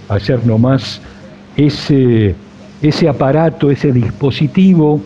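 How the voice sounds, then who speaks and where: A man with an Argentine accent hosting a radio interview, speaking in measured, elaborate, reflective phrases. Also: medium pitch. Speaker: radio host. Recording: dull lo-fi sound, faint music behind.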